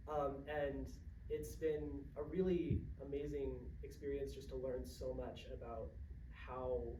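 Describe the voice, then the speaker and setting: A man speaking casually as a playwright in a live Q&A, thinking aloud in a halting way. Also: mid-range voice, level tone. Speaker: playwright at an audience talkback. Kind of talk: casual spoken Q&A. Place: indoor theatre room, mic far from speaker. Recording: roomy, distant mic, noisy hall.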